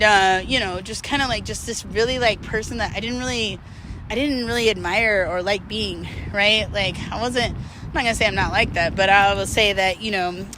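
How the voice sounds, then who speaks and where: A woman's voice: mid-range voice, noisy background. A woman chatting casually and candidly to her viewers, rambling, self-deprecating and swearing freely in a confessional vlog style. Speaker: vlogger. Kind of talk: casual confessional chat to camera.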